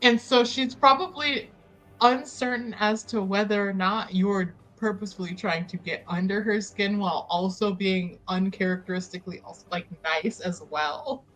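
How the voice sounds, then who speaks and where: Bright-voiced woman bantering at game table. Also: mid-range voice, fairly even intonation, normal speed, faint music behind, low-quality recording. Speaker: player in a tabletop roleplaying game.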